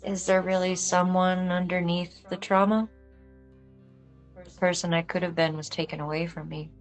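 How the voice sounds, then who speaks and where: Woman acting tough female cop, low voice, blunt, earnest, emotionally wounded, film drama, music behind. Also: flat delivery, moderate pace, close to an everyday voice. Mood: pained, earnest. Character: tough, foul-mouthed woman cop, wounded by an abusive childhood. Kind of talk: film script table read.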